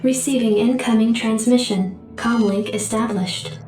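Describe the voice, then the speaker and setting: A woman voicing a formal female computer adjutant that delivers briefings and alerts in a steady voice. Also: a little high, a little slow, natural voice. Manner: formal, even announcements. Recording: echoey distant game audio with music.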